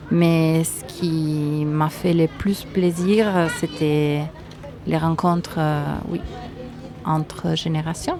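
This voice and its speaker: Low-voiced woman with an Italian accent. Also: darkish tone. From Italy. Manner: hesitant, thoughtful, many fillers. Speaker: guest. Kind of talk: casual interview answers.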